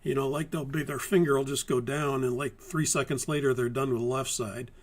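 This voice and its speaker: A man teaching a live-streamed airline pilot course in a relaxed, methodical way, hoarse from a lingering virus. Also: mid-range voice, brisk. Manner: methodical, conversational, many fillers and restarts. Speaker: flight instructor. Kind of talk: live-streamed training talk.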